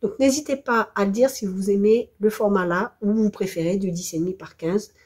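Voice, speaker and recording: A French woman with a hoarse voice from the flu, presenting a scrapbooking tutorial in a hesitant, apologetic way. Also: mid-range voice, a little fast, a little mellow. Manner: hesitant, apologetic, self-deprecating. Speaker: scrapbooking video host.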